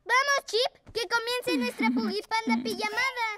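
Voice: extremely high pitch, very animated, moderate pace. A performer voicing an upbeat, friendly little boy panda cub in a Latin American Spanish cartoon dub, childlike and bubbly. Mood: eager. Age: young child character. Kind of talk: preschool cartoon dubbing in Spanish. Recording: music under the voice.

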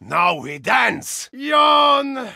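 A brash German football hooligan for a video game. His voice is raspy and pitched high for a man, swinging wildly up and down. He is excitable and theatrical, with a thick taste for mayhem. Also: very slow, no room sound, raised voice, studio quality, exaggerated voice. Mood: bellowing, fired up. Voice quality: gravelly, raspy. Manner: exclamatory, theatrical, swinging from mutters to bellows. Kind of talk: video game character voice lines.

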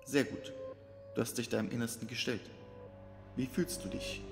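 A man's voice: medium pitch, fairly even intonation, normal speed, vocal fry, big-room sound, over music. A man voicing a stern, urgent disembodied voice from the void in a horror story. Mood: calm. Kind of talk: dramatic horror story reading.

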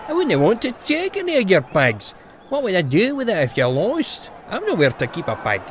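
An actor playing a grumpy, cagey bookie in a comic fantasy audio drama. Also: male voice, sits high, wildly swooping pitch, moderate pace, slight background noise. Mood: irritable. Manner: irritable, brusque.

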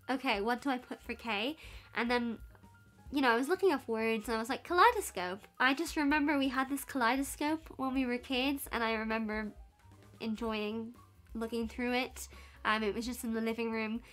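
A woman in her twenties with a soft Irish accent, chatting casually to camera in a sit-down vlog, giggly and full of fillers. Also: on the high side. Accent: Irish, softened by years in London. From Ireland and Belgium. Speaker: YouTuber. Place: bedroom at home.

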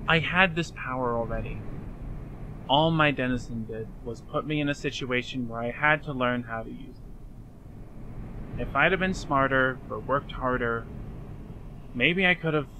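A man's voice: medium pitch, unhurried, long pauses, bright, forceful tone, slight background noise, low-quality recording. A man playing an anxious, self-blaming young man in a fan dub drama, speaking earnestly and hesitantly. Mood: ashamed, confessing.

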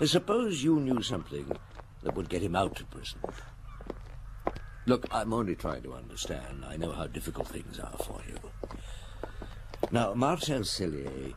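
An actor playing a shrewd, patient middle-aged police detective in a radio drama, with a measured, probing way of talking. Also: male voice, low voice, sing-song, background noise. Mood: gently probing. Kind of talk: radio drama dialogue and first-person narration.

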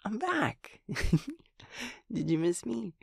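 A man's voice: high voice, very animated, very slow, creaky, fairly bright tone, husky, no room sound, studio quality, full character voice. A young man acting a sweet, scatterbrained, childlike empathy daemon in an intimate audio roleplay, stammering and repeating words. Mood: excited, affectionate.

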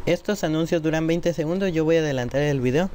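Higher-pitched man over background noise. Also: fast, darkish tone. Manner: step-by-step, addressing viewers directly. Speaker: tutorial presenter. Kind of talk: informal screen-recorded tutorial narration. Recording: noisy home computer microphone recording.

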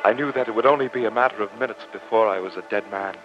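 An actor playing an earnest, formal professor who narrates a sea adventure in old-time radio drama style. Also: male voice, lowish voice, fast talker, natural voice. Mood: grave narrating. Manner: formal, theatrical, dramatic delivery. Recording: muffled old radio recording, music underneath.

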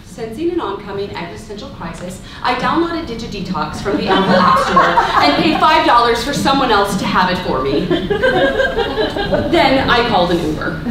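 A woman playing a deadpan, tech-dependent young woman narrating her absurd misadventure in a live theatrical comic monologue. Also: fairly high pitch, moderate pace. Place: live stage in a mid-sized hall with audience. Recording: echoey hall from far off, loud noise.

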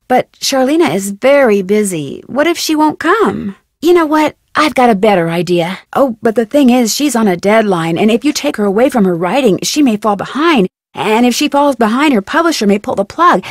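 Young american girl detective for a video game, friendly n curious, slightly high voice, dry close sound. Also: no room sound. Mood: persuasive. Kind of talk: scripted game dialogue, conversational.

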